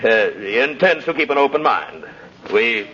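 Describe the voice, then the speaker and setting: Anxious, self-important male judge, medium register, deliberate delivery, dull vintage radio sound. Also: medium pitch, very animated, fairly bright tone. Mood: pompous, hesitant. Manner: hesitant, pompous, deliberate. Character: flustered, politically anxious male courtroom judge, cautiously official. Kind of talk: 1950s radio drama acting. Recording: muffled, noisy vintage radio recording.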